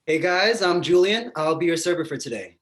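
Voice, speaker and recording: A man's voice: a little high, animated, brisk, fairly bright tone, reverberant. A man playing a cheeky, friendly young diner server in a screenplay table read. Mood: cheerful, playful.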